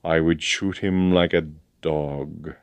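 A man's voice: lowish voice, measured pace, smooth, no echo, natural voice. A man voicing a stern, sentimental old colonel with a grave, emphatic military manner, in a storybook reading. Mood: quietly menacing. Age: older.

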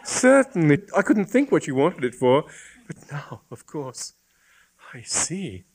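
A woman's voice: sits low, sing-song, slow pace, a little mellow, pitched above the performer's own voice, heavily acted. A man voicing an earnest, naive young woman with a plaintive, innocent manner in a comic story. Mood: puzzled, naive.

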